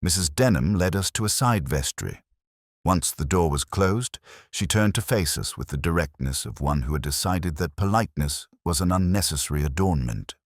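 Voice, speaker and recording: A man narrates a period mystery in a very deep voice. His delivery is measured and literary, yet the pitch moves expressively. The sound is dry, with hardly any room in it. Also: animated, dry recording. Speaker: audiobook narrator. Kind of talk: scripted story narration in first person as Doctor Watson.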